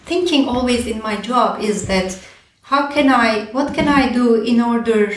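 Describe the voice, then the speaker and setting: Woman from Turkey, pro bono director, chatty and animated in an echoey room. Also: fairly high pitch, unhurried, slight background noise. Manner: animated, thinks aloud with many fillers and restarts. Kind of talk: conversational podcast interview.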